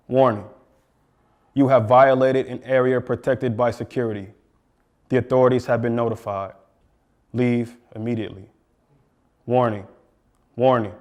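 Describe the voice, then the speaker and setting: American guy doing a poetry reading, deliberate and incantatory, middle pitched voice. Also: darkish tone. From Flint, Michigan, US. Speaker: poet.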